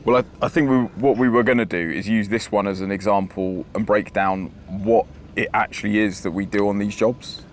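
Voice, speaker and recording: Man, UK, lowish voice, hoarse, easygoing, faint outdoor noise. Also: fairly even intonation, fairly quick, few pauses. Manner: easygoing, brief agreeing remarks. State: hoarse, voice going from shouting. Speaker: podcast co-host, security worker. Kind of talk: casual chat. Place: outdoors on a festival site during breakdown.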